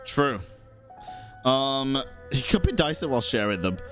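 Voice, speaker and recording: A man's voice: mid-range voice, big pitch swings, a little slow, faint music behind. A man doing casual live gameplay commentary as a streamer, exasperated and sweary. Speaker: gaming streamer.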